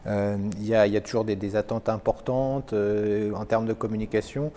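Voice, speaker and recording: Male interviewer with a lowish, warm voice and faint background noise, asks hesitant questions. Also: fairly even intonation, normal speed.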